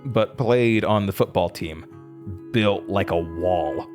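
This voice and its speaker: Audio drama lead: weary young man, low register, dry and candid delivery, quietly defiant, with prominent guitar music underneath. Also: slow pace. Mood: reflective narrating. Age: young adult. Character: weary, defiant young male college student haunted by his past.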